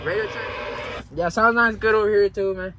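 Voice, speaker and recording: A man's voice: high voice, fairly even intonation, moderate pace. A man chatting casually for a vlog in laid-back, slangy words, with plenty of "you know". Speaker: trucker vlogger. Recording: noisy recording around truck and radio.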